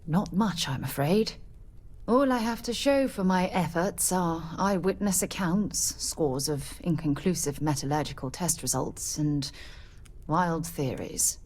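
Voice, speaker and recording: Husky, low-voiced explorers' leader. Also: female voice, a little slow, darkish tone. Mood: thoughtful. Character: earnest, thoughtful woman leading a space explorers' guild. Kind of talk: video game dialogue acting.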